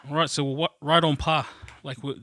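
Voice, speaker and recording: A man's voice: fairly high pitch, little pitch movement, a little fast, pushed and bright, dry recording, studio quality. A man co-hosting a podcast in casual, slangy, cheeky sports banter. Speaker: podcast co-host.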